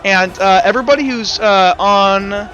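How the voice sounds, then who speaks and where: A man narrating a tabletop roleplaying game as game master, in halting, improvised, stop-start phrases. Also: sits high, bright, forceful tone, dull audio.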